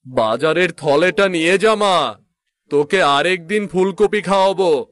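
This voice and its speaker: Slow-talking weary woodcutter father played by a man. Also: sits high, fairly bright tone. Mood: tired, gentle. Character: poor, weary woodcutter father, gentle but proud. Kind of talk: Bengali moral-story cartoon voice acting.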